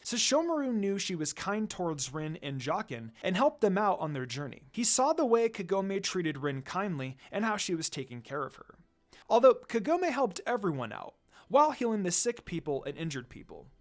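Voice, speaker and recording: A man's voice: sits high, very animated, moderate pace. A man reading a story aloud as scripted narration. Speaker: fan fiction narrator.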